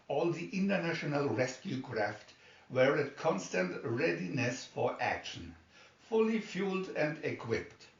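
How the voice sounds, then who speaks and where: A man reading a scripted adventure story aloud as a dramatic narrator. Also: medium pitch. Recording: muffled, echoey room, distant mic.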